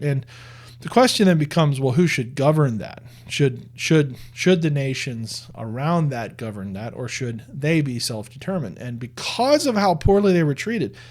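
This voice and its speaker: A man giving earnest religious commentary in a conversational tone, often restarting and repeating words as he makes his case. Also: a little high.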